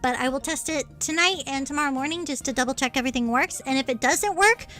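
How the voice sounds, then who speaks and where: A woman streamer chatting casually with her livestream viewers in a bubbly, cutesy, expressive manner. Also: high-pitched, quick pace, soft background music. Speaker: streamer, VTuber.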